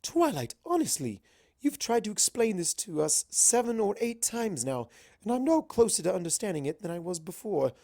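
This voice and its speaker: A man's voice: high-pitched, very animated, normal speed, a little mellow, voice pushed up, full character voice. A man voicing a refined, teasing young female pony with a theatrical, prim manner in a fan fiction reading. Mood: exasperated.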